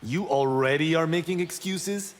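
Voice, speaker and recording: An actor playing a cocky, boastful young martial-arts monk in video game fight banter. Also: male voice, a little high, moderate pace, long reverb tail, close to an everyday voice. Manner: brash, proud, playful.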